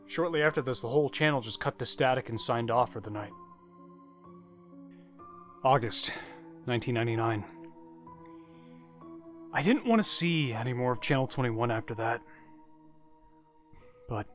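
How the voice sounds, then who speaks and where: A man narrating a scripted first-person horror story, with dramatic pauses and phrases that trail off quietly. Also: medium pitch, expressive. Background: music.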